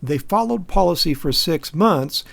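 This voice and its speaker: A man's voice: on the high side, expressive, unhurried, creaky, a bit bright. An American man giving critical spoken commentary in an emphatic, insistent, argumentative way. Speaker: activist commentator.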